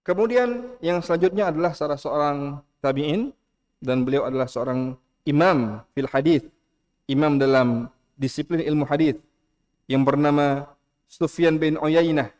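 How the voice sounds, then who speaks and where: A middle-aged man with an Indonesian accent giving an earnest lecture in a mosque, repeating key phrases and reciting Arabic slowly. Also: a little high, a little mellow. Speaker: preacher.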